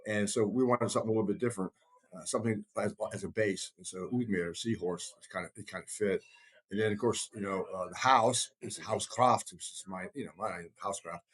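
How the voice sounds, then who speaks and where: Male co-host with a low, rough-edged husky voice, halting and conversational, thinking aloud. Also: fairly bright tone. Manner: halting, circling back, repeats himself. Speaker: podcast co-host, heathen group leader. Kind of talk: casual rambling chat.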